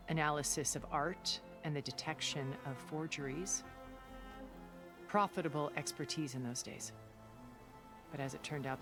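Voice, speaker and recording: A cultured, ancient recluse, measured and regretful. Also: female voice, deep voice. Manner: calm, deliberate, elegant phrasing. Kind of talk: video game cutscene dialogue. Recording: game cutscene with music underneath.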